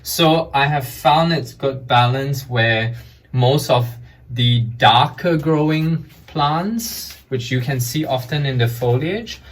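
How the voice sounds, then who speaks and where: A man from Malaysia, a guest calmly explaining things while showing his plant shelves at home. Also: medium pitch. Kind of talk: casual home tour explanation.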